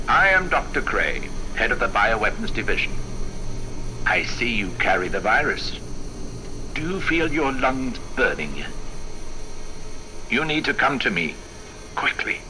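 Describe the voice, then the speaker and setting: An actor playing a sly, calculating male scientist in a video game, with a theatrical, measured and insinuating manner. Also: mid-range voice, big pitch swings, background noise. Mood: intrigued, sly. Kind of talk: video game dramatic acting.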